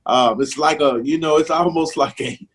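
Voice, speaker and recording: A middle-aged comedian from Mississippi with a strong Southern accent and a slight lisp, chatting casually in a laid-back, drawling way. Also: male voice, on the high side, normal speed, slightly rough. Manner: laid-back, drawling, says "man" a lot. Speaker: stand-up comedian guest. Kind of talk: casual interview chat.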